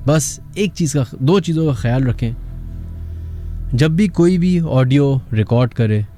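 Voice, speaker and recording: A Pakistani man presents a late-night horror show on the radio. His tone is soft and dark at a middling pitch, and music plays clearly behind him. He speaks as a host addressing listeners and callers. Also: animated, measured pace. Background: background music.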